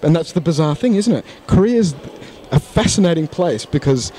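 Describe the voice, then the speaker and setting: A man's voice: a little high, lively intonation, moderate pace, warm tone, slight background noise. A man, a guest in a casual interview, talking frankly and thoughtfully with many restarts, into a handheld mic at a conference. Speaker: interview guest, English teacher. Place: conference hall lounge, indoors.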